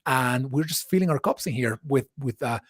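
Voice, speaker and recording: A man's voice: medium pitch, lively intonation, normal speed, husky, no room sound, clean studio sound. A man hosting a live interview chat, asking long, earnest, reflective questions with frequent restarts. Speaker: host.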